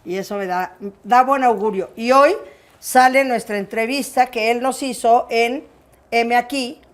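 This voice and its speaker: A woman's voice: on the high side, measured pace. A woman with a Mexican accent hosting a personal interview in an effusive, affectionate manner. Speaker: interview show host.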